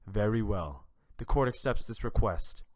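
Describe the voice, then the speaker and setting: A man voicing a stern, patient judge with formal, measured speech in a fan audio drama. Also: fairly low pitch, expressive, very noisy.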